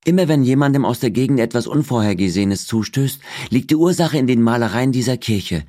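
Man voicing a higher-pitched, resentful young Turkish woman guide. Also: expressive, brisk, dark tone, dry recording, clean studio sound, close to an everyday voice. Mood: aggrieved. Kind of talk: audiobook character dialogue.

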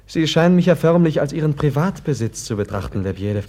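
An actor playing a gentle, nervous, easily exasperated prince in a radio play, earnest and formal in his agitated way of speaking. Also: on the high side, expressive, fast talker, natural voice. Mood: irritated, firm. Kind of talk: German radio play acting.

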